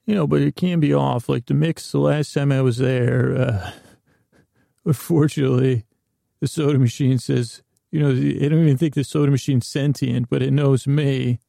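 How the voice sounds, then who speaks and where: A man hosting a podcast, delivering a meandering comic monologue with a gravelly voice, often interrupting himself and trailing off mid-thought. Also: medium pitch, fairly even intonation, normal speed, dark tone, no echo, clean studio sound. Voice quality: gravelly, creaky. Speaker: podcast host.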